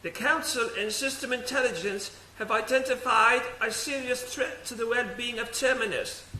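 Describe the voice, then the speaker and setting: Casting: male actor as stern, imperious theocratic ruler for radio drama; very high, piercing bright voice, formal delivery. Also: exaggerated voice. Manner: formal, imperious, measured oratory. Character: stern commanding high priest ruler of a dystopian council. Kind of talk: radio play acting. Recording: echoey, faint noise.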